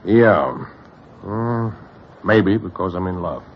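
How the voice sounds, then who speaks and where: An actor playing a lovestruck, weary New crook who wants to go straight, in a 1940s radio drama with a husky voice. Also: male voice, low voice, animated, slow, heavily acted. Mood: easygoing, rueful. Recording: dull vintage radio recording.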